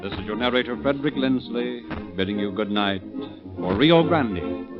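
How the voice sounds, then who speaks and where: A man's voice: mid-range voice, animated, moderate pace, over loud music. Formal vintage radio announcer, man, muffled. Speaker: announcer and narrator. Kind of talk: 1930s radio announcing, scripted. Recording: muffled old 1930s radio recording.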